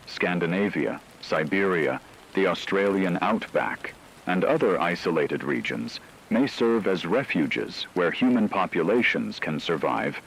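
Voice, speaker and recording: Male actor as radio drama news anchor: very low pitch, measured and factual, grave, faintly hissy broadcast. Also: a bit bright, heavily acted, low-quality recording. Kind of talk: scripted emergency news report.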